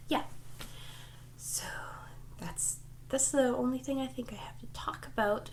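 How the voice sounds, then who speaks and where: A woman's voice: medium pitch, creaky, a little hiss or hum. A woman hosting her crafting podcast in casual vlog chat, her voice stuffy and a little nasal from a cold, chatty and self-deprecating with lots of ums and likes. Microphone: desk microphone.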